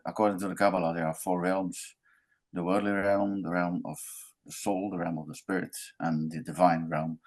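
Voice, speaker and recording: A man from Belgium with a Belgian accent, speaking haltingly in a roundtable discussion and repeating words as he searches for them. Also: low voice. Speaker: panelist.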